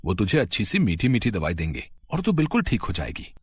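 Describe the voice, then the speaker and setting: A man's voice: lowish voice, wildly swooping pitch, brisk, slightly rough, full character voice. A man voicing a loving, remorseful village father in a story, earnest and emotional. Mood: affectionate.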